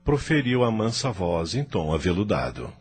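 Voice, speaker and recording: A man's voice: on the low side, big pitch swings, moderate pace, slightly rough. A man narrating an audio drama as a scripted storyteller.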